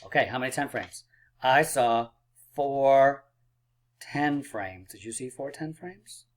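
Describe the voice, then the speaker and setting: A man teaching a math lesson for children, upbeat and encouraging, a little hoarse and clearing his throat. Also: medium pitch. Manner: upbeat and encouraging, playful drum rolls and counting aloud. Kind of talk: math lesson video for kids.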